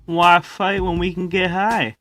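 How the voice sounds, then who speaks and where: A man's voice: sits high, flat delivery, measured pace, fairly bright tone, soft background music. A man voicing a goofy, boastful stoner echidna in an amateur comic dub, rambling in slang. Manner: rambling, slangy, says lol aloud.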